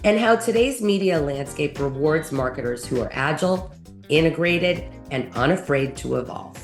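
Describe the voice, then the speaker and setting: A woman's voice: low voice, expressive, normal speed. A woman reading a scripted podcast introduction as an announcer. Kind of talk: scripted narration. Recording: echoey, with music underneath. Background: music.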